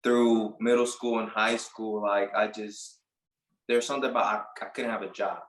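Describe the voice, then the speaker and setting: Puerto rico-born guy in his late 20s, lowish voice, talks loose with lots of "like", roomy echo. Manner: relaxed, halting, lots of "like" and restarts. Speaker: barber and business owner, guest. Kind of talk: casual interview.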